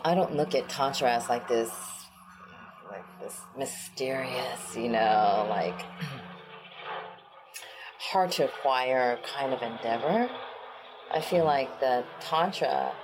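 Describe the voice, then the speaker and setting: A woman's voice: extremely low pitch, background noise. A middle-aged woman from the United States, speaking as an interview guest in a rambling, reflective way, full of fillers and easy laughter. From born in Korea, raised in the United States. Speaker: interview guest, wellness practitioner. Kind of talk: casual conversational interview.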